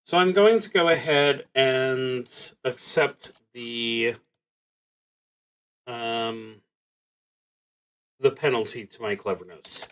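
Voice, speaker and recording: A man narrating a solo tabletop game, reading cards aloud and thinking out loud in a casual, wry way. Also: mid-range voice, slow pace, pauses often. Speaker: solo roleplaying game streamer.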